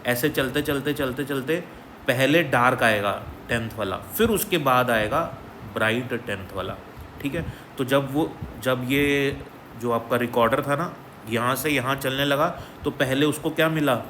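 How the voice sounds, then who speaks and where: A man's voice: mid-range voice, unhurried, a bit bright, slight background noise. Man explaining a physics exam problem. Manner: explanatory, repeats "ठीक है" as a check-in. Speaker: physics teacher.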